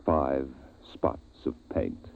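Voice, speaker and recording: An actor narrating a 1950s science-fiction radio drama as a solemn storyteller, with measured phrasing and weighty pauses. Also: male voice, a bass voice, vocal fry, noisy background, full character voice. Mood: solemn, dramatic. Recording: tinny vintage radio recording, music behind.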